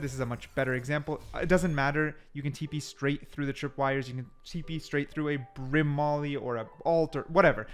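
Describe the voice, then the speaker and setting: A man's voice: fairly high pitch, a bit bright. A man giving casual, chatty explainer commentary over gameplay, talking the viewer through it step by step. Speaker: gaming video host.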